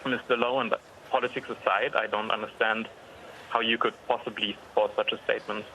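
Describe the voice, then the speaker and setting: A young man with a German accent phones in to a live news program. His voice is bright and forward, his delivery polite and measured, with some pauses as he thinks. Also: lowish voice, level tone, slight background noise, low-quality recording. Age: young adult. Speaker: caller.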